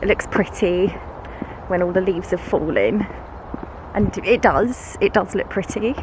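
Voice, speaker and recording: A woman's voice: mid-range voice, measured pace. Bunged-up British woman chatting outdoors. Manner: chatty, self-mocking, laughs often. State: congested, bunged-up nose. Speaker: vlogger and schoolteacher. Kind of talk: casual vlog chat while walking. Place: woods outdoors. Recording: noisy outdoor camera recording. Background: outdoor ambience, passing vehicles.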